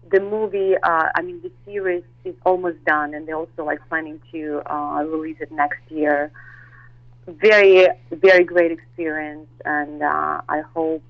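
A woman chats as an interview guest, pausing often and restarting her sentences. Her voice comes through a dull, lo-fi line, and the sound is dry with no room around it. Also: low-pitched, gravelly, no echo. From Russia. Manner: hesitant, many fillers and restarts, laughs easily. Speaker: actress and model guest. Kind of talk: interview chat.

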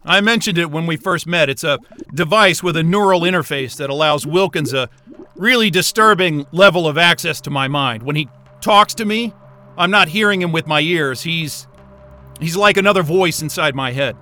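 An actor playing a stubborn, frayed, sardonic astrophysicist in a naturalistic sci-fi audio drama, talking in a profane, wry, agitated way. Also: male voice, a little high, moderate pace, pushed and bright. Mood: determined, wry.

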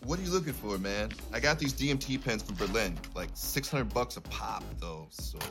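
Excitable stoner guy, drug dealer, rambling, slangy, very lively pitch, audio drama, music underneath. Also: normal speed. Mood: casual, chummy. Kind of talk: naturalistic comic audio drama dialogue. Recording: music behind voice.

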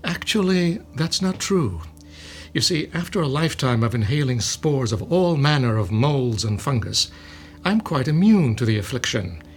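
A man playing a gloating, smug mad scientist villain in a radio drama, with soft laughs. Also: mid-range voice, expressive, moderate pace, lightly acted.

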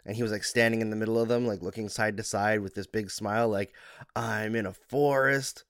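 A man from Alberta, a hockey analyst co-hosting a podcast, sick and under the weather, telling relaxed chatty stories. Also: on the low side, brisk, no echo, clean studio sound. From Alberta, Canada. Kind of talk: casual sports podcast chat.

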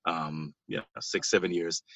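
A man's voice: deep voice, unhurried, bright, forceful tone, no room sound. A man in his thirties from Israel, giving conversational interview answers as a podcast guest over a band-limited call. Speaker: podcast guest, basketball coach.

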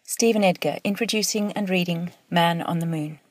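A woman's voice: lowish voice, moderate pace, no echo. A woman giving a formal, composed scripted introduction as a poetry editor and presenter.

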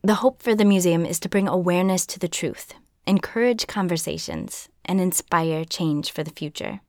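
A woman from Minnesota hosting a podcast, narrating a script in an earnest, measured way. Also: fairly low pitch, darkish tone, no echo, clean studio sound. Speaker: podcast host.

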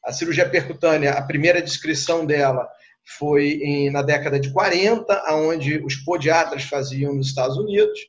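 A man's voice: fairly high pitch, normal speed, muffled recording. A middle-aged Brazilian man with a Rio de Janeiro accent, recounting and explaining conversationally as a guest surgeon, repeating words and adding tag questions. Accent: Rio de Janeiro Brazilian Portuguese.

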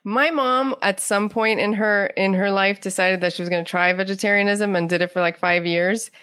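A woman's voice: mid-range voice, steady tone, moderate pace, a bit bright, dry recording, studio quality. A middle-aged woman, a writer being interviewed, telling anecdotes in casual chat. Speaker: guest playwright and writer.

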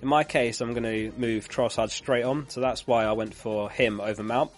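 A man's voice: medium pitch, steady tone, moderate pace, faint music behind. A man who is losing his voice, hosting a live fantasy football stream in a chatty way, reading out viewer comments and laughing along.

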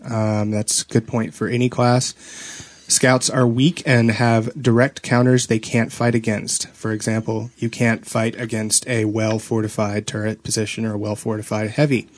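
A man's voice: fairly low pitch, little pitch movement, normal speed, dry recording. A man co-hosting a casual gaming chat, with a playful, teasing way of talking. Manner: playful teasing, joking with co-hosts. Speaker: podcast co-host.

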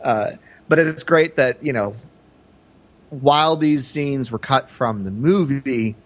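A man's voice: medium pitch, slow pace, highs cut off. A man co-hosting a podcast, talking through film in a casual, conversational way with hesitant, hedged phrasing. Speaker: podcast co-host.

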